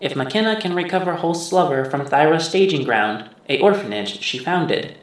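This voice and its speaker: A man's voice: fairly high pitch, lively intonation, natural voice. Echoing computer voice, performed by a man. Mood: measured, formal. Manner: formal, measured recitation. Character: neural implant computer retrieving stored memories. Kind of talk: scripted recap narration. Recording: heavily echoing processed voice.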